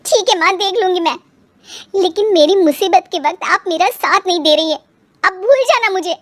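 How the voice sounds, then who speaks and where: A woman voicing an anxious, put-upon young daughter-in-law in an animated moral story, plaintive and fretful. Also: very high voice, normal speed, dry recording. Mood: hurt, angry.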